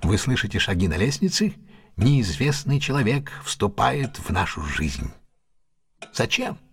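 An actor playing a confident, ironic detective with a husky voice in a Russian audio drama, speaking in a deliberate, theatrical, questioning manner. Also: male voice, fairly low pitch, sing-song, full character voice. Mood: dramatic, musing.

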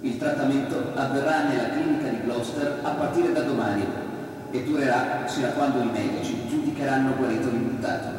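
Male actor as stern formal court official, radio drama, solemn ceremonious delivery, measured phrasing, long hall reverb, heard from afar. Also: medium pitch, fairly even intonation, darkish tone, natural voice. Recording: very echoey hall, distant voice.

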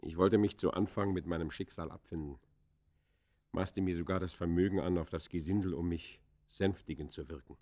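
Deep-voiced, embittered ex-convict. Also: male voice, fairly even intonation, normal speed. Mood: sorrowful, pleading. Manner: theatrical, declamatory, swinging from hushed to fierce. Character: embittered male ex-convict confessing his descent into crime. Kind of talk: German radio play acting, literary monologue.

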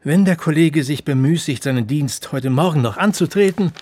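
Radio drama senior inspector: severe, duty-bound, formal, fairly high male voice. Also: normal speed, darkish tone, husky. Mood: stern, formal.

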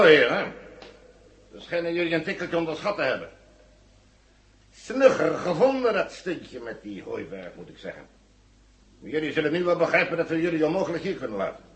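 A man acting a smug, coolly mocking villain who holds captives in a 1970 Dutch radio drama. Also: a little high. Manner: sardonic, condescending, controlled.

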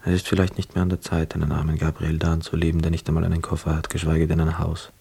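An actor playing a poor, wistful young man who narrates a literary radio drama in a measured, reflective manner. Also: a bass voice, completely flat delivery, gravelly, slightly rough, heavily acted. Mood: wistful, jealous. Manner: measured, reflective, hushed inner asides.

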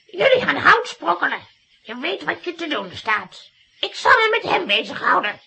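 Sharp, creaky, high-pitched seductive villainess. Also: female voice, big pitch swings, moderate pace, exaggerated voice. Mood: commanding.

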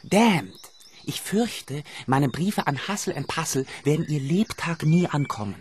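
An actor playing a worried, exasperated gentleman in a literary radio play. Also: fairly high pitch, sing-song, unhurried, over music.

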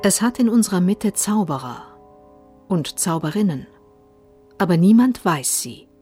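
A woman's voice: lowish voice, animated, a little slow, warm tone. A woman announcing and reading literary titles from a script for a radio drama. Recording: piano music behind the voice.

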